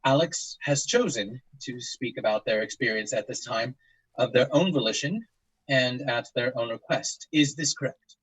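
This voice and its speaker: An actor playing a formal, methodical investigator of strange events, speaking in a clinical, hesitant, measured way in an audio drama. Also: male voice, medium pitch, slightly rough, dry recording, lightly acted, rough recording. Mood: probing, polite. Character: formal, methodical paranormal investigator, male, narrating case tapes. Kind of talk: audio drama, scripted case-file narration and interview.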